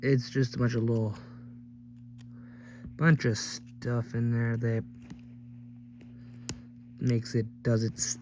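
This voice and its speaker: A man's voice: mid-range voice, little pitch movement, takes it slowly, long pauses, darkish tone. A man hosting a teardown video with casual, rambling commentary and offhand joking remarks as he works. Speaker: host of a gadget teardown video. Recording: clearly noisy recording with hum.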